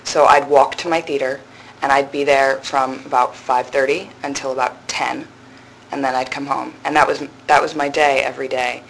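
A young woman in her twenties with a low, resonant voice, a drama graduate student answering interview questions thoughtfully, with frequent pauses and restarts. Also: steady tone, gravelly, a little hiss or hum, rough recording. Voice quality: low voice with strong body resonance. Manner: thoughtful, hesitant, many fillers and restarts. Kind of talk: reflective conversational interview.

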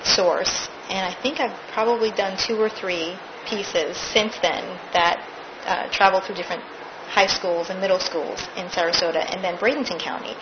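A woman's voice: medium pitch, fairly even intonation, moderate pace, few pauses, muffled recording, noisy background. Actress telling her stage story. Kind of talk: casual interview.

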